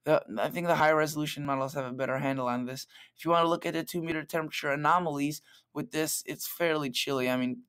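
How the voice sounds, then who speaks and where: Man, slightly raspy, laid-back weather vlogger walking through forecast maps. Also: on the high side, steady tone, fast talker, a bit bright. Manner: chatty, loose, talks through model maps. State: slightly raspy late at night. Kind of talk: casual weather forecast vlog. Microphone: plug-in microphone.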